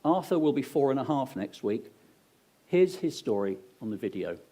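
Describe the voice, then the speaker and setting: Upbeat male awards host, mellow voice. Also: medium pitch, sing-song, moderate pace. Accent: English. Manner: upbeat, joking asides to the audience. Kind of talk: announcing at an awards ceremony. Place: event venue on stage.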